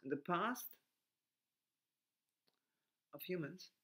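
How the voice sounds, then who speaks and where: A man with a German accent talks slowly through a reflective monologue, stopping and restarting as he hunts for words. His English grammar is loose, and his voice sits a little higher than most men's. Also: expressive, pushed and bright. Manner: halting, restarts often, broken grammar.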